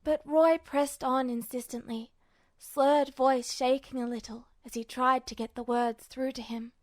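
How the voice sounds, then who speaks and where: A woman with a high-pitched voice narrates a dramatic story expressively. The recording is close and dry, with very little room sound, in the manner of an audiobook. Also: steady tone, unhurried, no echo. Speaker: podfic narrator. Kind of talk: expressive scripted fiction narration.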